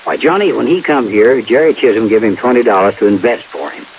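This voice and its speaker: An actor playing a gullible, chatty small-town police chief in a vintage American radio drama, with folksy, hesitant, stammering speech. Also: male voice, fairly low pitch, a little fast, lightly acted, poor audio. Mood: eager, gossipy. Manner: folksy rural phrasing, hesitant stammering, eager. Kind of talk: 1950s radio drama acting. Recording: hissy vintage radio recording.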